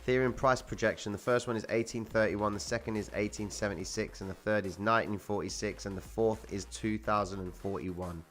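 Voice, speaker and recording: Young male livestream host, very low voice, slangy and relaxed, faint music behind. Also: a little fast, hardly any pauses. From Cyprus. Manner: laid-back, slangy, answering chat. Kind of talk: casual livestream chat reading viewer comments.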